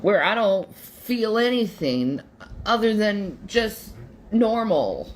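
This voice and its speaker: A woman delivers an earnest, motivational monologue at an unhurried pace. Her pitch sits mid-range and she repeats phrases for emphasis. A faint room hum runs behind the voice. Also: very animated, low-quality recording.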